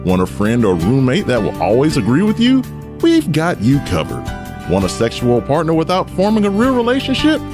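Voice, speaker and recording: A man's voice: on the high side, wildly swooping pitch, normal speed. Man doing a swooping, slick radio ad salesman. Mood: salesy. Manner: salesy, exaggerated. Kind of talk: parody radio commercial pitch. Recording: voice over loud music.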